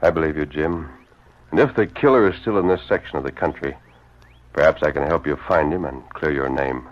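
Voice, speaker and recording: An actor playing a noble masked American hero in a radio western, speaking in a measured, formal, resolute way. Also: male voice, a bass voice. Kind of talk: old-time radio western acting. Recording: dull old radio recording.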